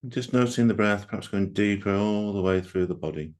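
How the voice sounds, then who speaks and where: A man with a low voice guides a meditation for a group. He speaks gently and takes his time, leaving long, open pauses between short phrases. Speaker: meditation guide leading a live group session.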